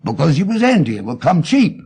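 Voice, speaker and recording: Man voicing an aggrieved English tradesman, high-pitched, swooping. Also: wildly swooping pitch, brisk, darkish tone, breathy edge, exaggerated voice. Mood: deferential. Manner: plaintive, emphatic, deferential. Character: aggrieved, simple male London tradesman, earnest and indignant. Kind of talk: dramatic audiobook character reading.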